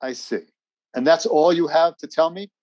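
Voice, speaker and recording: A man's voice: on the high side, moderate pace, darkish tone, dry recording, highs cut off, natural voice. A man acting as a formal, skeptical, insistent military major in a radio drama. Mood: skeptical, stern. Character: officious, skeptical military major pressing for answers.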